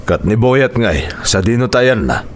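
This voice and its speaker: Casting: strict uncle, radio drama. Mid-pitched, scolding, demanding. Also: male voice, very animated, unhurried. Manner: bossy, scolding. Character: stern, demanding uncle ordering children to do chores.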